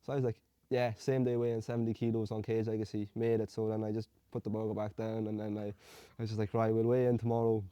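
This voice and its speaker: A young man from Hungary, an amateur fighter telling his story in a relaxed, rambling way. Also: lowish voice, fast talker, hardly any pauses, dry recording, muffled recording. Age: young adult. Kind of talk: casual interview answers.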